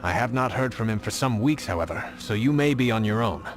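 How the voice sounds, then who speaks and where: A man's voice: medium pitch, expressive, brisk, soft background music, lightly acted. A man voicing a helpful, sober resistance contact in a video game, speaking earnestly and plainly.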